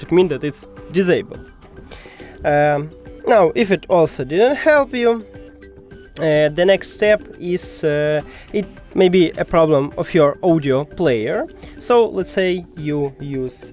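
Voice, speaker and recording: Higher-pitched man giving a tech tutorial over music. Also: gravelly, darkish tone. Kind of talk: step-by-step how-to narration.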